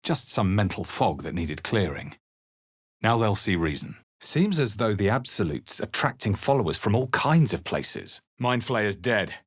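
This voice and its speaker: An actor playing a wry, guarded male adventurer in a fantasy game, speaking and narrating in a measured, dramatic, crisply enunciated way. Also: lowish voice, very animated, no room sound, clean studio sound, heavily acted. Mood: wry, musing. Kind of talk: scripted game voice lines and narration.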